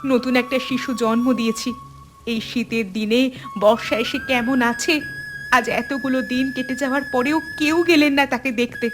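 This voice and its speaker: A woman's voice: high-pitched, fairly even intonation, normal speed, lightly acted. A woman voicing a wronged, bitter young mother and daughter-in-law in a dramatic cartoon, accusing and indignant. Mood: angry, accusing. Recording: music behind the voice.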